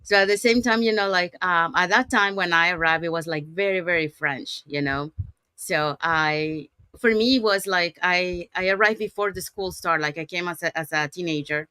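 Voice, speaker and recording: A woman's voice: fairly low pitch, normal speed, pushed and bright, clean tone, dry recording. A woman from Panama, a native Spanish speaker with a Panamanian accent, talking casually as the host of an interview. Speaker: show host.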